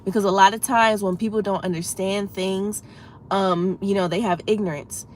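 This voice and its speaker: A woman's voice: mid-range voice, fairly even intonation, normal speed, slight background noise. A woman hosting a casual livestream, a bit congested and froggy from a head cold, talking earnestly and encouragingly to her chat. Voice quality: slightly froggy voice. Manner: earnest, encouraging, talks straight to chat with many "you know"s. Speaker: livestream host.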